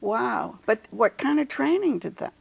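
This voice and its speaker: American woman interviewer, higher dry-sounding voice. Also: sing-song, a little slow, vocal fry, breathy edge. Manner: interjects often, echoing the guest's words. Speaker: interview host. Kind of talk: casual conversational interview.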